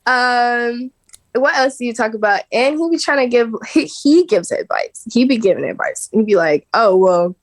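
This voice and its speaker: A woman in her mid twenties talks about her online content as a guest on a podcast. She is relaxed and loose, fills her talk with little fillers and laughs, and her voice sits a bit high. The recording is dry and close. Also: moderate pace, no echo. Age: 20s. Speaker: guest, social media creator. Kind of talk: casual chat.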